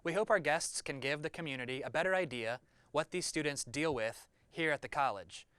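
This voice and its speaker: A man's voice: fairly high pitch, brisk, a bit bright, clear, resonant tone, dry recording. A young man hosting a student-made interview, reading friendly, scripted questions. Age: young adult. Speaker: host.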